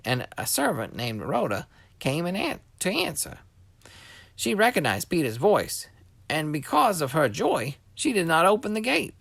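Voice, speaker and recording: A man's voice: sits high, vocal fry, fairly bright tone, dry recording. A man reading a scripture story expressively for children as a scripted narration.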